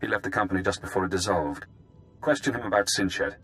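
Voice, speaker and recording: An actor playing a cold, brilliant intelligence informant in a video game, speaking in deliberate, clipped, menacingly calm phrases. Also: male voice, low-pitched, soft background music. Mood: calculating.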